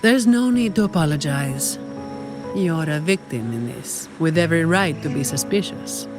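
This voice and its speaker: An actress voicing a cool, weary, dutiful young security operative in a video game, composed and dryly unimpressed. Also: female voice, deep voice, lively intonation, normal speed. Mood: calm, probing. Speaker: employee of a powerful family leading an operation. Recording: studio voice under game music.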